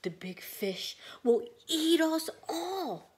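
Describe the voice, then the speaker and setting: A woman voicing a timid, frightened little red fish in a storybook, hesitant and breathy with fear. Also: high-pitched, sing-song, slow pace, pitched above the performer's own voice, exaggerated voice.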